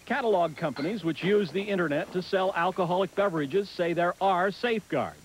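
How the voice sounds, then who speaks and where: American guy doing news report voiceover, slightly high voice, bit of background noise. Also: a little fast, low-quality recording. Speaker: news correspondent. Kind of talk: scripted news report narration.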